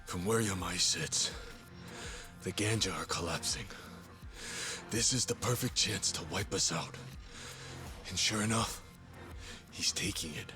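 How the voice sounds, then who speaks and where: An actor playing a grim, guarded underworld insider in a video game, speaking tersely and matter-of-factly. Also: male voice, low voice, unhurried, long pauses. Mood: grim explaining. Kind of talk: video game cutscene acting. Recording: music under the voice.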